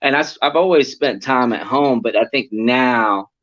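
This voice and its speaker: A man's voice: mid-range voice, moderate pace. A man, an actor born in Puerto Rico and raised near Atlanta, chatting casually as a guest over a video call. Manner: relaxed, chatty, laughs easily. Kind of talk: casual interview chat. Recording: lo-fi online call audio.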